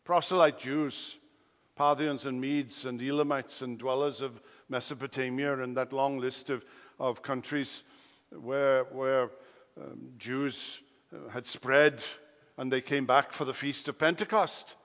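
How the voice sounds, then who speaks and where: A man with a Welsh accent, a native Welsh speaker, preaching an expository sermon, emphatic, swinging between loud declarations and quiet asides. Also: mid-range voice, fairly even intonation, unhurried. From Wales. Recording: long hall reverb.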